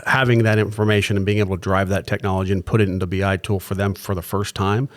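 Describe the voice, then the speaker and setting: Male guest, rapid explainer, lowish register, talks in looping restarts. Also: flat delivery. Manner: fast, with restarts and tag questions. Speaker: client services executive, guest. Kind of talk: explanatory business talk.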